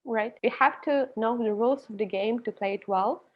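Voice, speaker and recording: A woman's voice: a little high, normal speed. A young woman with a Ukrainian accent, a guest expert on a video call interview, explaining her approach with light laughs mid-sentence. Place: indoor room with a large plant. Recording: slightly dull video call audio.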